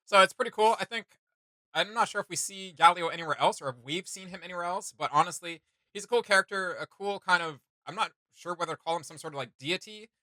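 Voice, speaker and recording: A man gives casual, enthusiastic reaction commentary as a video host. His voice is very bright and sits a little high, recorded close and dry. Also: quick pace, no room sound, low-quality recording.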